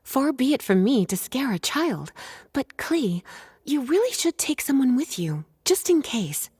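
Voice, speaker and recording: A woman's voice: sits high, very animated, a little slow, a little mellow, breathy edge, no echo, studio quality, full character voice. A woman voicing a poised, teasing, knowing female librarian in a video game, with formal wording. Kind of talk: scripted video game dialogue and storytelling.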